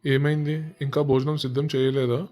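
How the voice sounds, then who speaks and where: An actor playing a proud king in a cartoon, speaking in a formal, measured way. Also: mid-range voice, flat delivery, a little mellow, close to an everyday voice. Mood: questioning. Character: proud adult king, decisive, later remorseful. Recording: music under the voice.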